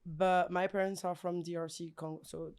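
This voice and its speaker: A woman's voice: fairly low pitch, flat delivery, normal speed, darkish tone, smooth. A young woman from Belgium, a guest speaking thoughtfully in a casual podcast interview.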